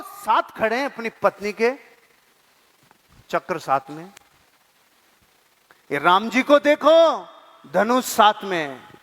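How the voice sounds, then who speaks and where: Man playing a high-voiced curious wife, echoing hall. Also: fairly bright tone, heavily acted. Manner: coaxing, insistent questioning. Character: nagging curious wife in a comic anecdote. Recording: long hall reverb.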